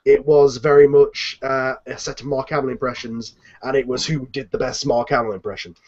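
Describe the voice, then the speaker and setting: A man hosts a casual group chat online, with a mid-pitched voice and halting delivery. The call audio is slightly dull and muffled. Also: little pitch movement, crowd noise. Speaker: host of a fan audio drama cast chat. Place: indoors on an online video call. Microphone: video call.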